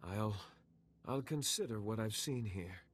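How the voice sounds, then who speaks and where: An actor playing an earnest, proud Jedi knight with a husky voice in a video game. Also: male voice, lowish voice, lively intonation, measured pace. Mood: chastened.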